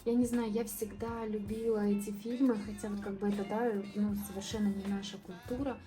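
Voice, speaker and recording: Young woman from southern Moldova, art vlogger, mellow tone, relaxed and rambling delivery. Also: mid-range voice, a little fast, faint music behind. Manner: relaxed, rambling, many fillers and restarts. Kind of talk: casual painting vlog voice-over.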